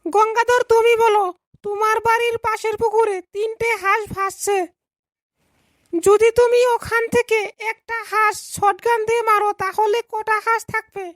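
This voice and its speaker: A woman's voice: extremely high pitch, flat delivery, normal speed, no echo, studio quality. A woman voicing a polite young female schoolteacher in a comedy cartoon, instructing her pupils. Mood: teacherly, questioning. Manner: instructing, addresses pupils in turn.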